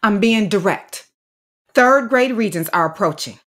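An actress playing an earnest, firm schoolteacher in an audio drama, measured and direct, pleading but principled. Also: female voice, mid-range voice, expressive, fairly bright tone. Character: earnest, firm, dedicated woman elementary school teacher. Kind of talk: naturalistic audio drama acting.

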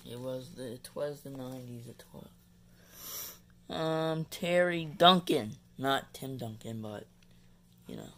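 A man casually chatting while opening trading card packs at home, reading player names haltingly. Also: mid-range voice, a little hiss or hum. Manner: mumbling, reads names haltingly. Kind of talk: casual box-break chat. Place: indoors at home, carpeted floor.

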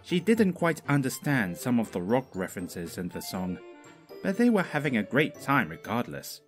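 A man's voice: mid-range voice, very animated, moderate pace, faint music behind. A man reading a story aloud as a scripted narrator. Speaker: fanfiction narrator.

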